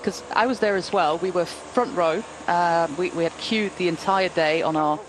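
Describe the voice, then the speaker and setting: A woman's voice: fairly low pitch, moderate pace. Chatty woman commentator over race noise. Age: 40s. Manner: chatty, anecdotal, frequent ums. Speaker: cycling commentator. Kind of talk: live race commentary chat.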